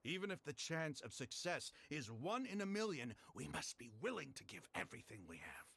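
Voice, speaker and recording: A man's voice: on the high side, sing-song, brisk, creaky, a bit bright, slight background noise, full character voice. An actor playing a proud, hostile male desert mercenary leader in a video game, speaking with scorn and drama.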